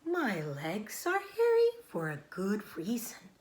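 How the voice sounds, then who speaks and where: A woman voicing a patient, precise female spider who instructs softly and deliberately in a children's storybook. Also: mid-range voice, huge pitch swings, echoey room. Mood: knowing, precise.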